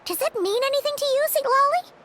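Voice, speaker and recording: A woman voicing a small, bossy, girlish fairy companion in a video game, with a squeaky childlike voice. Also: fast, very noisy. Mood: matter-of-fact. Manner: refers to self in third person, exasperated. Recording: music behind the voice.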